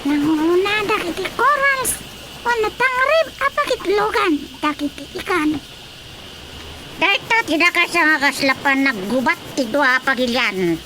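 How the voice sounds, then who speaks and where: Woman acting a very high-voiced chatty female, noisy. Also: animated, unhurried, sharp tone, exaggerated voice. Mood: explaining. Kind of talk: Ilocano radio drama acting. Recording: noisy radio drama track.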